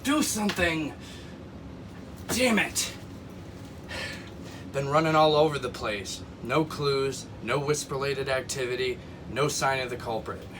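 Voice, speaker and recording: A man mutters through a scripted monologue at a slow, halting pace. His pitch is medium. A clear hum like air conditioning sits behind the voice. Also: animated.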